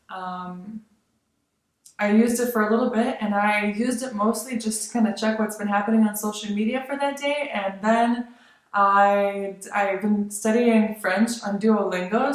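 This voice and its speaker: A young woman from Lithuania chatting casually as a vlogger, rambling with many fillers and soft laughs. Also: medium pitch, steady tone, a little slow. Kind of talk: casual chat. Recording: very echoey room.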